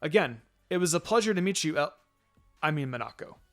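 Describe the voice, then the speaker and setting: A man's voice: fairly high pitch, animated, a little fast, a bit bright, faint music behind. A man voices a kindly male ninja in an anime-style story. He is loyal and humble, with a polite, modest way of talking. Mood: friendly, modest.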